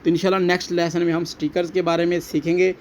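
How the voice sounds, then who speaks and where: Higher-voiced Pakistani man giving a tutorial. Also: level tone, racing, a little mellow. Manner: repetitive explaining, addresses viewers as friends. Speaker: tutorial host. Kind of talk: step-by-step app tutorial narration. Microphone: voice-over recorded alongside phone screen recording. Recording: dull lo-fi audio, faint background noise.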